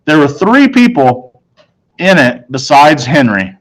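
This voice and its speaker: A man's voice: fairly high pitch, sing-song, slow pace, low-quality recording. A man narrating a children's novel aloud in the first person, as a storybook narrator.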